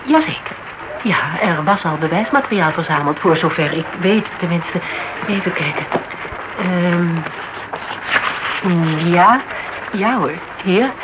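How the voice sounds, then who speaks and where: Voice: mid-range voice, animated, measured pace, long pauses, close to an everyday voice. An actor in a radio play playing a formal, deferential yet probing legal adviser who speaks carefully and with hesitations. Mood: matter-of-fact, persistent. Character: polite, probing legal adviser, tactfully insistent. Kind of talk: Dutch radio drama acting, dialogue. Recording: noisy old radio broadcast recording.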